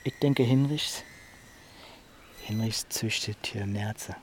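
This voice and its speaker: A man's voice: fairly low pitch, takes it slowly, heavy background noise. An actor playing a brash, self-assured village man in a naturalistic radio drama, talking in breezy, colloquial slang. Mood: confiding, eager.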